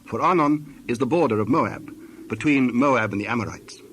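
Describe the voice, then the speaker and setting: Solemn male Bible narrator, mid-pitched. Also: a bit bright, a little hiss or hum. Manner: measured, solemn storytelling in short phrases. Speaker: scripture narrator. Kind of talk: scripted dramatized Bible narration.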